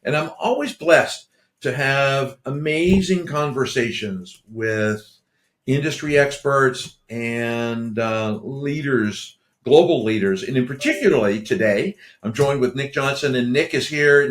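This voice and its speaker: A man's voice: mid-range voice, unhurried, fairly bright tone. A man hosting an interview podcast, talking casually and rambling, often repeating himself and restarting sentences. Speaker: podcast host. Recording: roomy echo.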